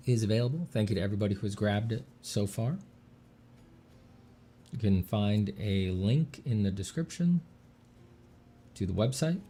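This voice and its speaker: A man streaming while he draws, chatting casually with dry deadpan jokes and a slight slur from a sore mouth. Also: fairly low pitch, a little hiss or hum. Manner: dry deadpan joking, relaxed and rambling. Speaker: artist streaming a drawing session. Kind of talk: casual livestream chat.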